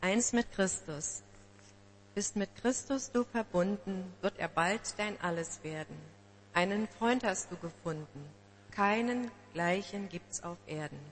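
Woman slowly reciting a devotional poem. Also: mid-range voice, in a large hall, slight background noise. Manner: slow, measured recitation. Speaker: poetry reader.

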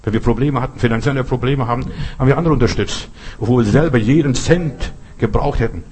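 A man's voice: mid-range voice, fast, darkish tone. A middle-aged man who is not a native German speaker, preaching earnestly with personal stories and repeating phrases for emphasis. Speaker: pastor.

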